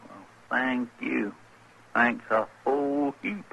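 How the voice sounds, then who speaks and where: Good-natured rustic deputy type, gravelly and homespun, 1950s radio western with clear background noise. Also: male voice, medium pitch, takes it slowly, lo-fi sound. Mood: folksy surprise. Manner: rustic drawling idiom, homely exclamations. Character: folksy, eager, good-natured frontier marshal's helper. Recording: noisy, crackly old radio recording.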